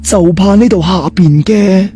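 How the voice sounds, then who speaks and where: A man voicing a sly, secretive old boss in an audiobook, speaking in a deliberate, ominous way. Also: high voice, fairly even intonation, dark tone, soft background music. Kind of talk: audiobook character dialogue.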